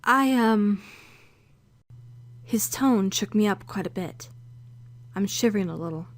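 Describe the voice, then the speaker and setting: A woman's voice: medium pitch, expressive, a little slow, natural voice. A woman playing an anxious young female trainee, earnestly narrating her own thoughts in a visual novel fan dub. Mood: shaken, anxious. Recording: music under a close, dry voice.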